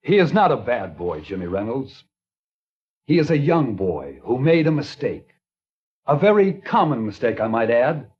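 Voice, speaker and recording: An actor playing an earnest small-town preacher in a 1950s radio drama, reading scripture in a measured, solemn way. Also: male voice, mid-range voice, very animated, lightly acted. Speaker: reverend. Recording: dull old radio transcription.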